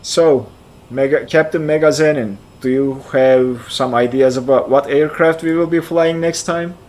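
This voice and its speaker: A man's voice: on the high side, fairly even intonation, a little slow. Easygoing Turkish-accented man in his forties. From central Turkey. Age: 40s. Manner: easygoing, answers chat messages aloud, thinks out loud. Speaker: flight sim streamer. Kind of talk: casual live-stream chat.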